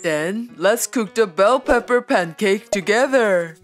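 A kind, cheerful elephant who loves to cook in a children's puppet show, voiced by a woman. The speech is gentle and unhurried, with music playing behind. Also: mid-range voice, lively intonation. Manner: gentle, cheerful, instructing simply. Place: studio voice-over.

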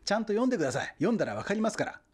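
Relentless civic fanatic for comic radio play; high, very animated, insistent and pompous. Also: male voice, very fast, breathy edge, exaggerated voice. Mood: indignant. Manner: insistent, lecturing, grandiose. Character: pushy, fanatical Nagoya booster, indignant salesman-like man. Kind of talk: comic radio drama dialogue.

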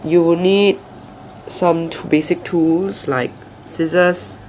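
Soft, croaky young man explaining a build. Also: on the high side, fairly even intonation, slow, a little hiss or hum. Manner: soft-spoken, hesitant with restarts. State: croaky, soft voice after a flu. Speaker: aquarium hobby channel host. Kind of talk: casual tutorial narration. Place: indoors at a table.

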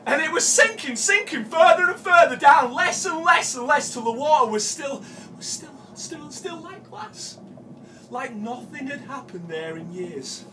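An actor with a British accent playing a haunted, obsessive man confessing to a killing in a halting, repetitive live horror monologue that swings between hush and shouting. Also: very high-pitched, big pitch swings, background noise, exaggerated voice. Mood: awed, intense. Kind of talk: live dramatic monologue of horror audio drama. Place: small dim café stage before seated audience. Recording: distant live room mic, music behind.

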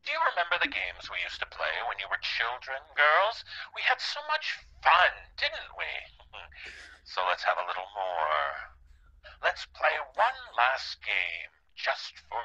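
A sadistic old father from a horror drama, relishing every drawn-out word. His voice is sharp and forceful and leaps wildly up and down, through a slightly muffled recording. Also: medium pitch, wildly swooping pitch, breathy edge, heavily acted. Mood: menacing playfulness. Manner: theatrical, drawn-out, cackling. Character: gleeful, sadistic dying old father taunting his daughters. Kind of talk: horror audio drama acting.

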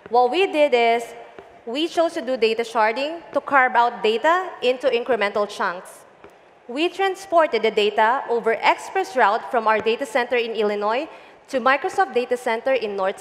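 A woman with a fairly high voice speaks in a measured, explanatory way as the guest of an onstage tech interview. She wears a headset mic on a big conference stage, and the sound carries a long hall reverb. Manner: measured, explanatory, some fillers. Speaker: guest, data engineering lead. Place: large conference stage before an audience.

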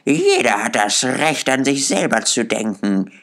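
A man's voice: mid-range voice, very animated, a little slow, fairly bright tone, pitched above the performer's own voice. A man voicing a brash, defiant old woman thief in an audiobook, with a coarse, taunting manner. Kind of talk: audiobook character voice.

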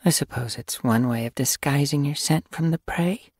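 Casting: level-headed, sharp-tongued young she-cat, voiced by a narrator, lifted pitch, dry and scolding, animal audiobook. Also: moderate pace, dry recording, natural voice. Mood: wry, muttering.

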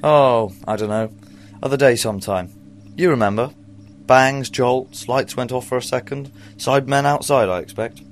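A man's voice: mid-range voice, normal speed, slight background noise, close to an everyday voice. A man playing a weary, wry time-traveling Doctor with dry, understated humor. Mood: gloomy. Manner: dry, gloomy, understated wit. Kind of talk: fan audio drama acting.

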